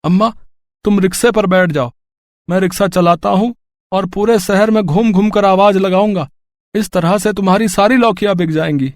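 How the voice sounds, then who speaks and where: A man's voice: high-pitched, moderate pace, dry recording, clean studio sound. A man voicing an earnest, kind-hearted poor rickshaw puller in a Hindi story cartoon. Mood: helpful.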